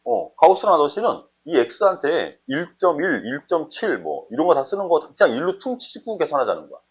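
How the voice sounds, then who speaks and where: Husky male math teacher, lively pitch. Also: normal speed. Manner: conversational, often checks understanding with short questions. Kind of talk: informal explanatory math lesson, thinking aloud.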